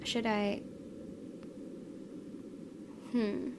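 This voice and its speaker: A woman's voice: medium pitch, flat delivery, slow pace. A woman hosting a gaming video, reading a visual novel aloud expressively and slipping into casual asides. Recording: noisy background.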